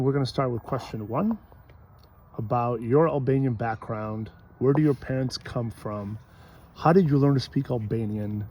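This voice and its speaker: A man's voice: mid-range voice, warm tone, slight background noise. A man interviewing an older woman at her home, friendly and structured as he reads out numbered questions.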